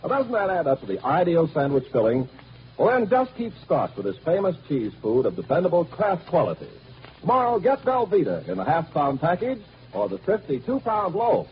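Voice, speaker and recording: A man's voice: sits high, wildly swooping pitch, moderate pace. An American male announcer reading a 1940s radio advertisement with a polished, persuasive sales delivery. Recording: noisy, muffled 1940s radio recording.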